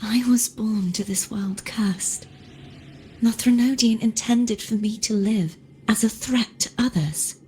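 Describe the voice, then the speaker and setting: Slow, soft-spoken doomed young woman. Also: on the high side, slight background noise. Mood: sorrowful, at peace. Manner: solemn, deliberate, wistful. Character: gentle doomed young woman, resigned and self-sacrificing. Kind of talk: dramatic video game voice acting.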